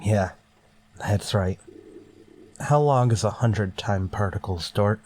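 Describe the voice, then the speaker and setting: An actor playing a stern, weary starship captain in a sci-fi radio drama, clipped and authoritative. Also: male voice, lowish voice, takes it slowly, a little mellow. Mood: dry, controlled.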